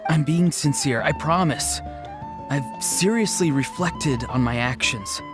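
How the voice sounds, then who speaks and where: A man's voice: on the high side, animated, measured pace, over music. A man playing a sincere, sheepish young grandson and stubborn would-be hero in a dramatic video game. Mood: earnest, contrite.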